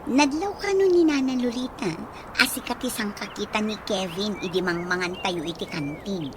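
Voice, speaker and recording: An actress with an Ilocano accent playing a teasing, lively young woman in a radio drama. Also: mid-range voice, moderate pace, background noise, lightly acted. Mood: teasing, gossipy.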